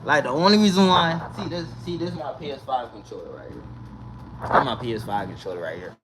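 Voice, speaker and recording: A young man from the Bahamas, vlogging in a casual, animated way and often checking in with the viewer. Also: mid-range voice, quick pace, crowd noise. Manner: animated, repeats "you understand?" to the viewer. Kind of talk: casual direct-to-camera chat. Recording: noisy recording with vehicle sounds behind.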